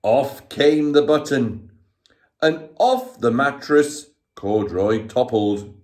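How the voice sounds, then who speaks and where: A man reading a children's story aloud like a teacher, dramatic and expressive, drawing his young listeners in. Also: medium pitch, slow. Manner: dramatic, inviting listeners to join in.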